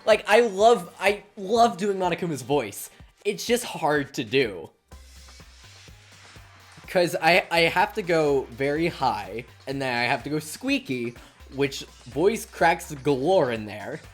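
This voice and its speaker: A woman's voice: low-pitched, lively intonation, takes it slowly, breathy edge. A woman streamer chatting casually and a bit self-deprecatingly while playing a game. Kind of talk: casual live-stream commentary. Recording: faint game music behind.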